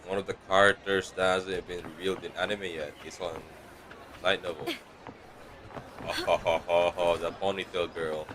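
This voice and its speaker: A man's voice: low-pitched, level tone, moderate pace. A man streaming a game, reacting casually with slangy, laid-back commentary. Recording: faint background noise.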